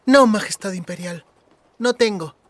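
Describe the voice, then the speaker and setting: A man's voice: high-pitched, a little slow, fairly bright tone, pitched above the performer's own voice. A man voicing a frightened, submissive young girl posing as a princess in an audiobook, formal and trembling.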